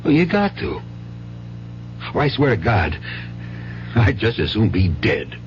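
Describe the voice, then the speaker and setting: A man's voice: medium pitch, wildly swooping pitch, unhurried. A muffled, noisy old radio drama recording with hum. a desperate American father and gambler, wheedling and bitter, stumbling and repeating himself as he pleads. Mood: earnest, insistent.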